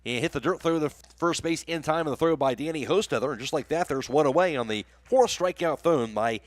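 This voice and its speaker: A man's voice: on the high side, fairly quick, no room sound. A man with an American accent doing live radio play-by-play commentary of a baseball game as the announcer.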